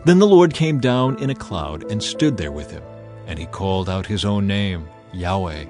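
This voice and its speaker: A man's voice: deep voice, lively intonation, normal speed, music in the background. A man reading scripture as a dramatized audiobook narrator.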